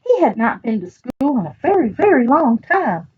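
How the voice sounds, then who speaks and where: Animated Southern woman reading to children, dull recording. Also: high voice, normal speed, slightly rough. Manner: animated, expressive, talks directly to young listeners. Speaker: librarian reading picture books aloud. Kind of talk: children's storytime read-aloud with chatty asides.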